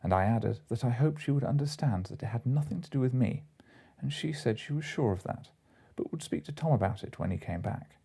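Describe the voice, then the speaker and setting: A man's voice: mid-range voice, lively intonation, fairly quick, creaky, hardly any pauses, mellow, husky. A man narrating classic comic fiction as an audiobook reader, from a script.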